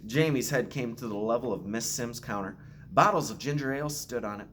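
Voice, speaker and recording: A man who is a school principal reads a picture book aloud to children with expressive, simple storytelling phrasing. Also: mid-range voice, fairly quick, slight background noise. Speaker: school principal reading to pupils.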